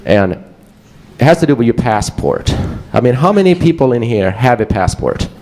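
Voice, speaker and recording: A man's voice: mid-range voice, sing-song, normal speed, a little mellow. Swedish-accented man giving a casual talk. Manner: casual, joking, digressive. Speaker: conference speaker. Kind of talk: presentation talk to a live audience.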